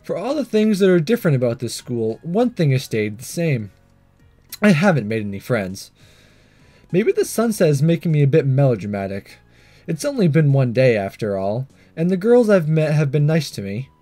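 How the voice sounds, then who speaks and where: Casting: man reading self-conscious teen schoolboy, earnest anime game inner monologue, slightly high and expressive, music behind. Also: moderate pace. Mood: melancholy musing. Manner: earnest, fretful. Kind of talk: reading visual novel aloud.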